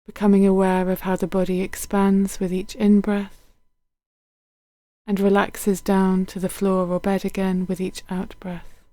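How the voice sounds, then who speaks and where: A woman guiding a body scan meditation in a gentle, unhurried, soothing manner, letting her phrases end softly. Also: medium pitch, completely flat delivery, dry recording. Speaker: meditation guide.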